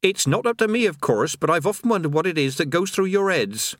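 A man's voice: high voice, sing-song, fairly quick, no room sound, studio quality, pitched above the performer's own voice. A man voicing the cheerful, absent-minded creator of the universe, who rambles like a chatty tradesman. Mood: breezy. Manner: chatty, rambling, casual workman's talk.

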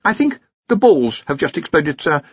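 A jittery young wizard scholar, put on by a male narrator speaks high and haltingly. He is deferential and anxious, with lively swoops and a husky grain. The close, dry audio is a touch muffled. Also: no echo. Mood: hesitant, deferential. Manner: hesitant, anxious, mumbling, polite.

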